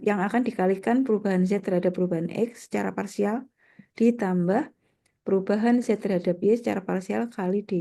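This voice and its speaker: A woman's voice: mid-range voice, warm tone. A woman with a nasal voice from a slight cold, explaining step by step as a lecturer and often checking in with short tags. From Indonesia. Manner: didactic, with frequent check-in tags. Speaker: university mathematics lecturer.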